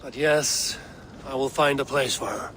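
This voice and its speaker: A man's voice: medium pitch, fairly even intonation, measured pace, lightly acted. A man playing a rugged but kind Viking raider in a video game, terse and plain-spoken. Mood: gentle, frank. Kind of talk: video game cutscene acting. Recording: clear background noise.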